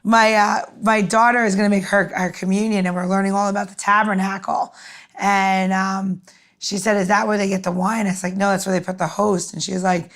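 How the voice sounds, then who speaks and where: Woman, podcast co-host, medium pitch, casual chat, rambling storytelling manner. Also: flat delivery, a little fast. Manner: informal, rambling anecdotal talk.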